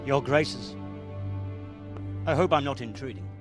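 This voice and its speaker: An actor playing a learned coin expert in a video game cutscene, speaking formally and hesitantly. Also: male voice, mid-range voice, a little slow, over music, close to an everyday voice. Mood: nervous. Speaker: coin master.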